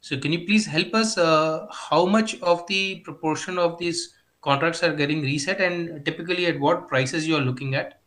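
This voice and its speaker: Higher-voiced male analyst, politely hesitant. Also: normal speed, fairly bright tone. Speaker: financial analyst. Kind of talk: asking questions on an earnings call.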